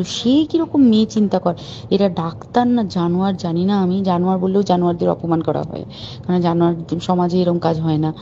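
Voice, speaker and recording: A woman's voice: lowish voice, warm tone. Woman, informal phone talk, indignant, urgent, dull lo-fi call audio, light background noise. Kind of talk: private phone call, recounting events. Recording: muffled phone call recording with faint hiss.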